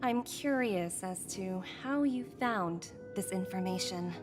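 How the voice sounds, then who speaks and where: A woman's voice: mid-range voice, slow, natural voice. A woman voicing a polite young company negotiator. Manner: polite, businesslike. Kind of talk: video game voice acting. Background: game music.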